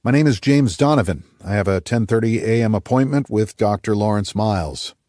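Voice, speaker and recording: A man's voice: fairly low pitch, brisk, a little mellow, dry recording, studio quality, lightly acted. A man voicing a composed, courteous undercover agent with an easygoing, polite manner, in an audiobook.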